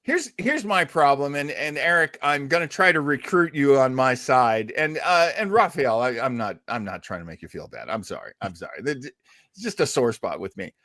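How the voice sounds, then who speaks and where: A man's voice: mid-range voice, sing-song, normal speed, pushed and bright, no room sound, studio quality. A man hosting a casual talk show discussion, emphatic and given to repeating phrases to make his point. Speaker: podcast host.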